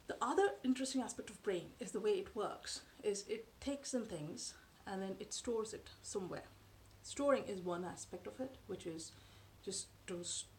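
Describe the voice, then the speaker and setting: A woman in her thirties whose first language is Tamil, talking to camera from a sofa in her living room in a measured, thoughtful way with frequent pauses. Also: medium pitch, darkish tone, slight background noise. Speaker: vlogger. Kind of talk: explanatory talk to camera. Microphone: camera in front at close range.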